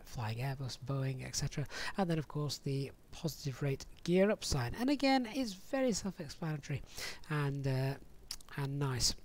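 Man giving a casual software walkthrough. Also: a little high. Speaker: flight simulator streamer giving a tutorial. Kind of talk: casual software tutorial voice-over.

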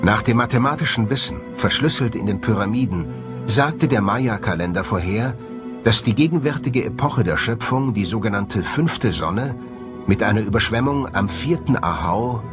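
Low-voiced male documentary narrator over music. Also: expressive, moderate pace. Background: prominent background music.

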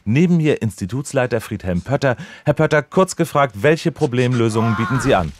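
An actor playing a dutiful, deadpan local radio reporter delivering formal, wordy parody news reportage. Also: male voice, medium pitch, fairly quick, noisy background, lightly acted.

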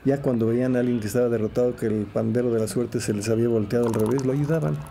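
A man's voice: mid-range voice, steady tone, fast, gravelly, dark tone, soft background music. A man with a Mexican accent recalling old colleagues in anecdotes during an interview.

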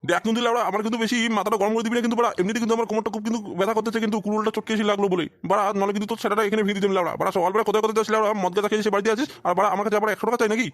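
A man's voice: high voice, flat delivery, very fast, hardly any pauses, no echo, lightly acted. A man voicing a foul-mouthed, scolding old village father with an aching back in a crude comedy cartoon. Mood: angry, threatening.

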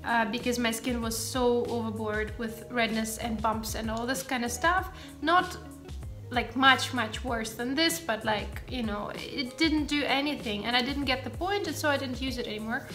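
A woman's voice: on the high side, moderate pace, a bit bright, soft background music. A woman in her thirties, Ukrainian-born with Russian as her first language, speaking English with a light British tinge, chatting through her skincare routine with lots of ums and asides. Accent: light British-tinged English over a Russian and German background. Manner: chatty, rambling, frequent ums and self-corrections. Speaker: beauty vlogger. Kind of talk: chatty get-ready-with-me monologue. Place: bedroom at home, indoors.

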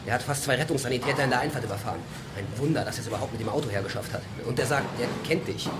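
An actor playing a hurried, agitated hospital colleague in a radio drama. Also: male voice, mid-range voice, lightly acted. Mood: urgent. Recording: loud noisy ambience.

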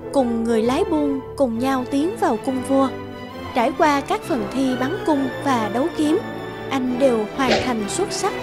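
A woman narrator reading a scripted storybook tale. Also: high-pitched.

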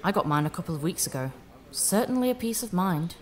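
Actress as a kindly older widow, very animated. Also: female voice, lowish voice, fast talker, close to an everyday voice. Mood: resigned, candid. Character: kindly, resilient widow in her seventies. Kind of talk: audio drama acting.

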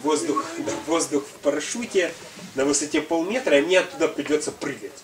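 A young man giving an informal lecture as an instructor, halting a little as he thinks aloud. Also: fairly high pitch, a crowd in the background. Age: young adult. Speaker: seminar lecturer, parachute instructor. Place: indoor equipment hangar with small audience. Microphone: camera some metres away. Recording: echoey room, distant mic, group noise.

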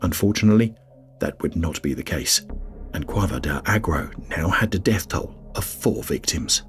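A man reading a scripted documentary narration in a grave, measured way. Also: low-pitched, animated. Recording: faint music bed under voice-over.

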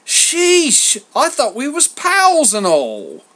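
A huge bearded god with the patter of a hipster hustler. The voice shoots high and swings widely, with a bright, forceful edge. He schmoozes, brags and wheedles in slang, like a salesman in a comic myth. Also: sing-song, a little slow, heavily acted. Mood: mock hurt. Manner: slangy, schmoozing, boastful, theatrically wounded. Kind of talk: comic story character voice.

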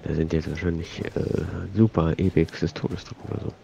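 A man's voice: very deep voice, steady tone, normal speed, creaky, warm tone, dull audio, a little hiss or hum. A man with a cold, casually rambling and muttering to himself while commenting on his gameplay. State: has a cold. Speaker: let's play host.

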